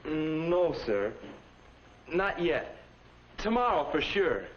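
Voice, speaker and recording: A young man playing an anxious, earnest, polite high school boy in a 1950s instructional film, speaking deferentially. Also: sing-song, slow pace. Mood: polite, subdued. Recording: muffled, noisy 1950s film soundtrack.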